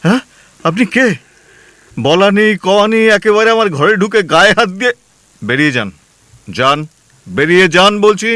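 A man's voice: sits high, lively intonation, moderate pace, pauses often, bright, forceful tone, no room sound. A man playing an anxious, gullible newly married husband in a comic audio drama, hesitant and easily flustered. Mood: alarmed.

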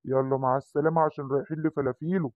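A man's voice: a little high, steady tone, racing, no echo, narrow, tinny audio, close to an everyday voice. A man voicing a kindly dog doctor who coaxes children into their shots, with an Egyptian accent. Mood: soothing. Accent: Egyptian Arabic. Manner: soothing, cajoling.

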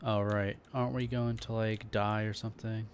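A man's voice: on the low side, level tone, moderate pace. A man hosting a casual let's play, chatting in a laid-back way with jokey asides. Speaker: gaming channel host. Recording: loud game music behind voice.

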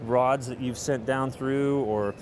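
A man presents a product in an informal, explanatory way, pausing now and then to find the word. His pitch is average. Clear trade-show noise surrounds him in a big busy hall. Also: fairly even intonation. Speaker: kayak company representative presenting a product. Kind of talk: casual product walkthrough interview. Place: large indoor convention hall booth. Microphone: handheld interview microphone held by interviewer.

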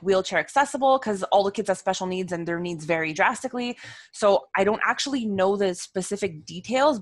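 A young woman from Montreal with an Italian-tinged accent, a guest parent on a video call, talking quickly and earnestly with a headset mic and lots of "like" and "um". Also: on the low side, no room sound. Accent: Italian-tinged Montreal English. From Montreal, Canada. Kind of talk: casual video call chat. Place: home room, seated in a gaming chair.

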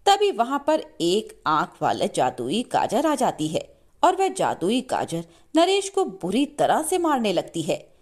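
A woman reading a scripted children's story as an expressive narrator. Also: mid-range voice, measured pace.

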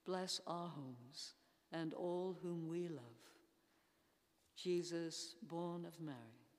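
Slow, solemn older woman praying in an echoing church. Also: lowish voice, darkish tone. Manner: solemn, measured phrasing with pauses. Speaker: church intercessor leading prayers. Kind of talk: formal read liturgical prayer. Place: large church interior. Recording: echoey church hall, long reverb.

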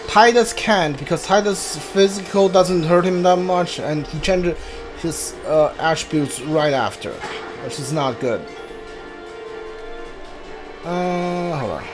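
A man's voice: fairly high pitch, slow pace, long pauses, over music. A man doing live gameplay commentary as a streamer, thinking aloud as he plays. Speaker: game streamer.

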